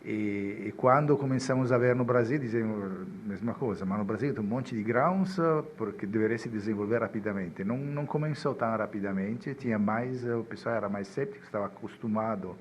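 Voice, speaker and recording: Podcast guest: a man with an Italian accent and a deep voice who speaks haltingly, repeating words. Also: a little hiss or hum. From Italy. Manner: hesitant, repeats words while searching. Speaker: guest chemist. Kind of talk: podcast interview.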